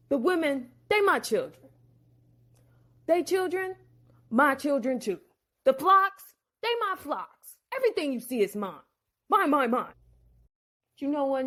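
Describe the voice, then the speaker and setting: A woman's voice: sits high, very animated, slow pace, long pauses, fairly bright tone, pitched above the performer's own voice, heavily acted. A woman voicing Laban, an angry, scheming older man who rants in slang and claims everything as his own. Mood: possessive. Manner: slangy, ranting, possessive.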